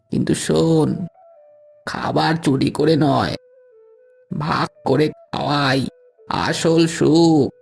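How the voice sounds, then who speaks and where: A man playing a kindly old woodcutter uncle, gently moralizing in a creaky voice in a cartoon fable. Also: a little high, full character voice. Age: older. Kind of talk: Bengali moral-story cartoon voice acting.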